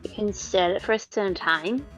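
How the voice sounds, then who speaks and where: A woman voicing a sweet, earnest girl assistant with a gentle, hesitant manner in a live game fan dub. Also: medium pitch, normal speed, lightly acted, low-quality recording. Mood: curious. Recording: music behind the voice.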